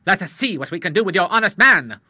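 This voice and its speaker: A man voicing a blunt, gruff elderly retired admiral in an audiobook, with brisk nautical bluster. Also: high voice, very animated, sharp tone, no room sound, clean studio sound, voice pushed up, heavily acted. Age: older.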